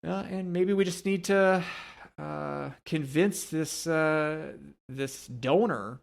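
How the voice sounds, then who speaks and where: A man's voice: fairly high pitch, slow, creaky. A man co-hosting a sports podcast, talking casually about hockey in a hesitant, meandering way. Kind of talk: casual hockey commentary chat.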